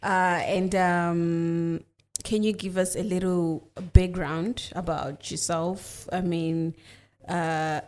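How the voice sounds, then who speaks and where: A woman hosts a podcast from a studio, her voice a little lower than usual for a woman. She talks in an upbeat way, teasing her guest playfully as she moves the interview along. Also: flat delivery, slow pace, darkish tone. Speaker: podcast host.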